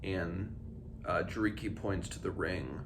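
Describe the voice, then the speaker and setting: A man with a low-pitched voice talks through a book as a read-along host, reading and commenting informally. His phrasing comes in hesitant starts and stops. There is clear background noise in the recording. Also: measured pace. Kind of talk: book discussion with read-aloud passages. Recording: clearly noisy background ambience.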